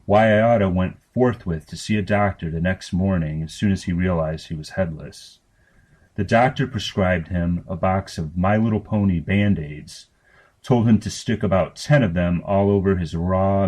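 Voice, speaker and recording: Low, husky-voiced man reading a story. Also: measured pace, a little mellow. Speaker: story reader. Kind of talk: deadpan scripted narration of grotesque fiction.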